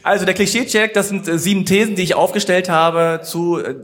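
A playful man hosts an on-stage interview, quick and teasing, throwing questions to the audience between prompts. His voice sits a little higher than most men's. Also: fairly even intonation, fairly bright tone. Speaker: host. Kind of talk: casual live-audience interview.

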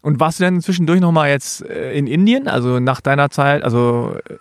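A man's voice: a little high, lively intonation, fairly quick, no room sound. Man asking long rambling questions. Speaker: interviewer, host. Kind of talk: casual interview questions.

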